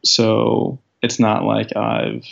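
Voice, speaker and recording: A young man with a deep, raspy voice talks in a relaxed, reflective way, often pausing on fillers. His low voice has a heavy gravelly fry. The recording sounds muffled and lo-fi. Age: 20s. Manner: reflective, thoughtful, many fillers. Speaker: guest, professional soccer player. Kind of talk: casual interview conversation. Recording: dull lo-fi sound.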